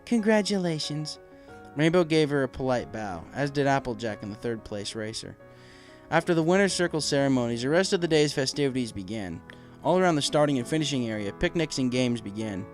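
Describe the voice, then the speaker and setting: A man's voice: medium pitch, lively intonation, a little fast, faint music behind. A man reading scripted story narration as a storybook narrator.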